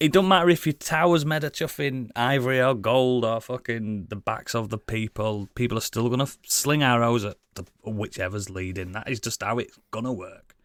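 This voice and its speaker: A man's voice: mid-range voice, fairly even intonation, moderate pace, dry recording, clean studio sound. A British man chatting casually as a gaming podcast co-host, blunt, sweary and opinionated.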